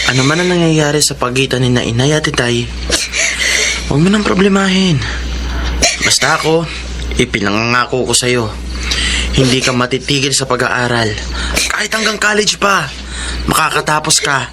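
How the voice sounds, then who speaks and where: An actor playing a protective, earnest teenage older brother in a Filipino radio drama, speaking in a reassuring manner. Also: male voice, medium pitch, animated, moderate pace, pauses often, close to an everyday voice. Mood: reassuring, resolute. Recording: very noisy radio drama broadcast.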